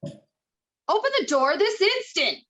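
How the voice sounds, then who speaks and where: Woman playing Joyce, a bossy worried mother, very animated. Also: very high voice, takes it slowly, heavily acted. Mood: angry, demanding. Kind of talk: table read of a screenplay.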